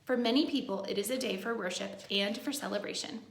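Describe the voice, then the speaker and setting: A woman's voice: mid-range voice, quick pace, gravelly, reverberant. A woman teacher reading a picture book aloud to young children, adding simple, encouraging asides as she goes.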